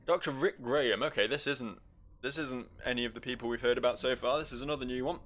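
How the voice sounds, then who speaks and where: A man's voice: medium pitch, quick pace, a bit bright, faint music behind. A man giving casual, wry game commentary, thinking aloud and reading in-game text. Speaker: gameplay host.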